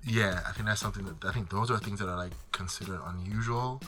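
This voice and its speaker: A man's voice: on the low side, fast talker, bright, forceful tone, soft background music. A man, an author, answering interview questions in a casual, blunt way, often saying "like" and "right?" and swearing freely. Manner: conversational, frequent "like" and "right?", blunt and profane. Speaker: author guest.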